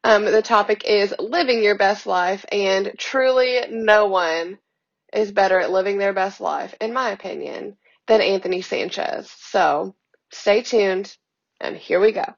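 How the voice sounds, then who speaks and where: A young woman hosting a casual podcast, her voice a little hoarse, talking in an upbeat, chatty way with many fillers, recorded from a hotel room. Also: mid-range voice, a little slow, highs cut off. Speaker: podcast host. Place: hotel room, sitting on a bed.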